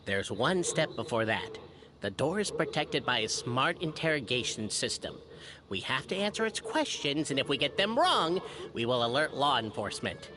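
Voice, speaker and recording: A man's voice: medium pitch, very animated, normal speed, a crowd in the background, close to an everyday voice. Man acting a theatrical, boastful young adventurer. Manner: grandiloquent, dramatic. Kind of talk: video game voice acting.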